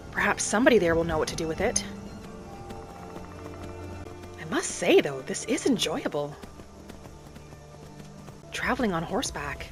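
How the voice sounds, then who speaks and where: A woman voicing a gentle young wood elf alchemist in a fantasy game roleplay, soft-spoken and musing to herself without hurry. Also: fairly low pitch, lightly acted. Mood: thoughtful. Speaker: player of a solo fantasy roleplay video series. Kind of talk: in-character game narration.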